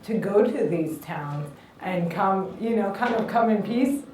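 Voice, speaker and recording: A woman's voice: mid-range voice, expressive, a little slow, background noise. A woman speaks in a reflective, earnest way. Her voice sounds distant and carries a strong echo. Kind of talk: documentary interview.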